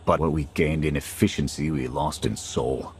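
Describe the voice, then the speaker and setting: Deep-voiced, gruff soldier. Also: male voice, steady tone, normal speed. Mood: weary, bitter. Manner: terse, military, matter-of-fact. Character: weary, principled male soldier, gruff and commanding. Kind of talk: scripted video game drama acting. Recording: faint game ambience and noise.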